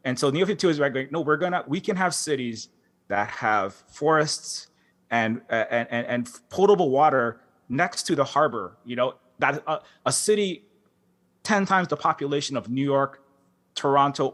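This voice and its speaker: A man speaking on a conference panel as a game developer, impassioned and halting, with many restarts and fillers. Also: mid-range voice, fairly bright tone. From Hawaii. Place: large concert hall.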